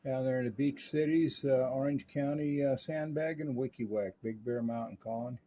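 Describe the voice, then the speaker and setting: An American man narrating radio repair work and chatting on CB radio in a casual, unhurried way with many pauses. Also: mid-range voice, steady tone, mellow, dull audio, a little hiss or hum. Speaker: radio repair technician and presenter.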